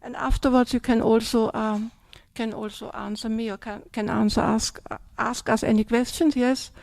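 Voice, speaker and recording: An older woman with an Austrian German accent gives a relaxed talk. Her voice sits fairly high but sounds mellow and soft. It is recorded close and dry, with no room sound, and she keeps checking in with her listeners. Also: normal speed, gravelly, no echo. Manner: chatty, tags sentences with "yeah?" and "yes?". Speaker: Buddhist nun. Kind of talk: dharma talk.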